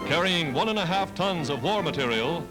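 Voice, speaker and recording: High-pitched male 1940s newsreel narrator. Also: expressive, moderate pace, poor audio. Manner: declamatory, dramatic scripted delivery. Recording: vintage 1940s newsreel soundtrack, loud music. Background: loud music.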